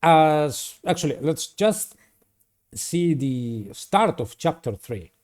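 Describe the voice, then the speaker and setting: A man's voice: on the high side, big pitch swings, slow pace, darkish tone. A man hosting a game playthrough, reading a visual novel aloud and adding casual commentary. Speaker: video game player and host. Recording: music playing behind the voice. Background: background music.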